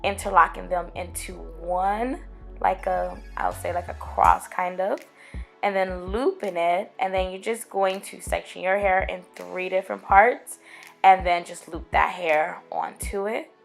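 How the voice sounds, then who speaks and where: A young woman, raspy from getting over a cold, chatting casually to her viewers as she explains braiding her own hair step by step, recorded close to a camera at home. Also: on the low side. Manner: chatty, addresses viewers as guys and girl. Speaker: hair tutorial vlogger. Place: small room at home, indoors.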